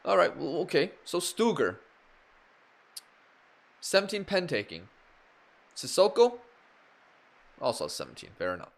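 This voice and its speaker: Lively streamer talking through a game. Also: male voice, a little high, a little slow, vocal fry, pauses often. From Canada. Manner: animated, reacting aloud to results. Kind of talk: live game stream commentary.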